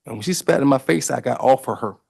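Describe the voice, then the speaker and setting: A man's voice: mid-range voice, fast, vocal fry, a little mellow, breathy edge. A man testifying in a courtroom under cross-examination, answering emphatically and defensively in long run-on replies.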